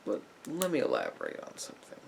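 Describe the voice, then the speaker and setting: A man playing a patient, probing therapist in a soft-spoken ASMR roleplay, with halting, deliberate phrasing and a gravelly voice that drops toward breathy near-whispers. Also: fairly low pitch, huge pitch swings, slight background noise, exaggerated voice. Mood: calm, counselling. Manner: halting, deliberate phrasing with pauses and reflective murmurs. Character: patient, probing male therapist gently counselling a client.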